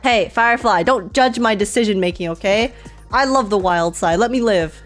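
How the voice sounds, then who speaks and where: A woman's voice: mid-range voice, moderate pace. A woman live-streaming a game with casual, playful, slangy reactions to what happens. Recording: faint game music behind.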